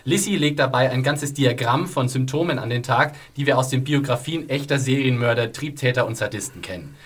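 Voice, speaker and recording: A man moderating a series podcast, reading listener comments and steering the talk with quick jokes. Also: mid-range voice, breathy edge. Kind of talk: scripted review narration and casual podcast chat.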